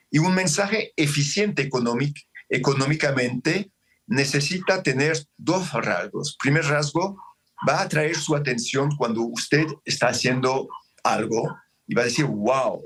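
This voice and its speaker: Male author guest, French accent, measured, explanatory, middle pitch. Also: fairly bright tone, breathy edge. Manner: explanatory, measured, with self-corrections. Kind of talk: interview over a remote link. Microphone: remote link.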